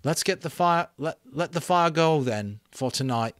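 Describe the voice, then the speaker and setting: An earnest schoolboy leader acted by a man in an audiobook, pitched up and swinging widely as he pleads. He sounds frustrated and urgent, stressing his points in a close, dry recording. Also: lively intonation, measured pace, a bit bright, no echo. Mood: resigned. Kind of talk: dramatic audiobook character reading.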